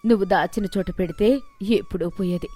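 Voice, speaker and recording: A woman's voice: medium pitch, wildly swooping pitch, fairly quick, mellow, soft background music. A woman voicing a crafty old mother-in-law in a story, commanding and scolding. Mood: calm, triumphant.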